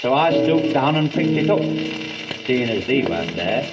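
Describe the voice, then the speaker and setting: A man's voice: medium pitch, very animated, normal speed, lightly acted. A man voicing a stern, cold, disapproving duke in a comic monologue. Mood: cold reproach. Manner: cold, reproving. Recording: echoey 1930 78rpm record with music.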